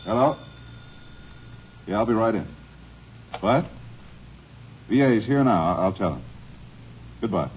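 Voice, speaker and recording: A calm small-town doctor. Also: male voice, fairly low pitch, measured pace, pauses often, breathy edge. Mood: matter-of-fact. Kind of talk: 1940s radio acting. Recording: dull old radio recording.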